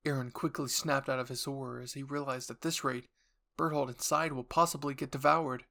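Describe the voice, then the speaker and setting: A man's voice: medium pitch, flat delivery, fairly quick, vocal fry, bright, forceful tone. A man reading dramatic scripted narration for a roleplay story, addressed to the listener in the second person.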